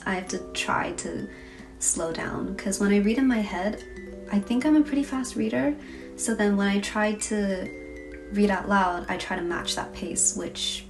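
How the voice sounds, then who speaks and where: A young woman in a casual diary vlog, talking in a tired, chatty, self-deprecating way. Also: mid-range voice. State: hoarse, tired voice. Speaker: vlogger. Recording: distant mic with loud music behind.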